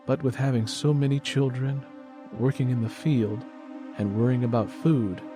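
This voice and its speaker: A man's voice: mid-range voice, dark tone, dry recording, clean studio sound. A man reading a scripted story as an audiobook narrator. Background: music.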